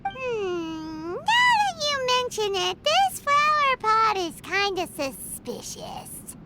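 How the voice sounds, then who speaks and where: Squeaky, very high little fairy girl. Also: slow pace, very noisy, exaggerated voice. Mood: pondering. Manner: speaks of self in third person, squeaky, expressive. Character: tiny excitable childlike fairy girl companion. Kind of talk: video game voice acting.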